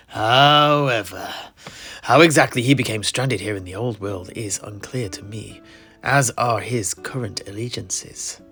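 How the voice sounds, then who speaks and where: A man's voice: fairly low pitch, bright, forceful tone. Tavern keeper and scholar for fantasy audio drama, played by a man: courteous, formal, hesitant interjections, faint music underneath. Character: courteous, scholarly tavern keeper and amateur historian, master to apprentices. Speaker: host. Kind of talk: in-character fantasy audio play.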